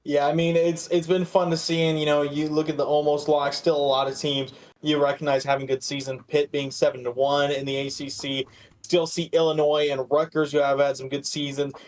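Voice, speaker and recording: A man co-hosting a podcast with casual sports commentary, in a halting way that often repeats words. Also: fairly high pitch, level tone. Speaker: podcast co-host.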